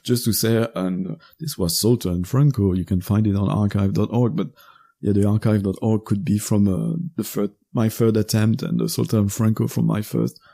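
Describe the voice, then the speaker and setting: Gravelly Belgian French man, halting. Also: lowish voice, little pitch movement, mellow. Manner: halting and searching for words. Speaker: guest podcaster. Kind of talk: casual conversational interview.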